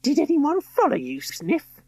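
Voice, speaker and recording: A man's voice: very high-pitched, huge pitch swings, a little slow, dark tone, dry recording, pitched above the performer's own voice, full character voice. A man voicing a scheming old female villain inventor who sneers and hisses her orders. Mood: hissing. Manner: sneering, hissing.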